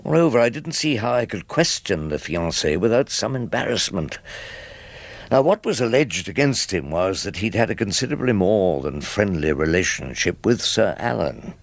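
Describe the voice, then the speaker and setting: An actor playing a wry, world-weary old English defence barrister in a radio drama, speaking in a dry, wordy, deliberate way. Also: male voice, fairly low pitch, very animated, vocal fry, heavily acted. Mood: wry, reflective. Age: older. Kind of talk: British radio drama narration and dialogue.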